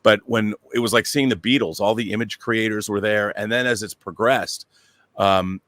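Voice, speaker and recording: A man's voice: lowish voice, fairly even intonation, moderate pace, a bit bright, no echo. A middle-aged man talking casually as a panel guest, telling detailed stories with enthusiasm. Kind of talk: casual anecdotal talk.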